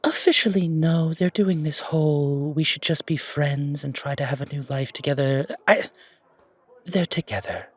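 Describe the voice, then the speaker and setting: A man playing an elegant, wry fantasy coffee shop owner in an audio roleplay, with deliberate, formal, theatrical phrasing. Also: fairly high pitch, no echo, close to an everyday voice. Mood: warm, confiding.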